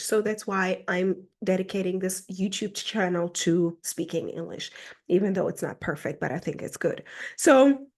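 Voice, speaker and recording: A woman's voice: medium pitch, a little mellow. Woman from Germany, casual vlog mentor, chatty and reflective, mid-height voice, heavy on "like". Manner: chatty, reflective, fills speech with "like". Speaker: personal mentor. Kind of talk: casual personal vlog monologue.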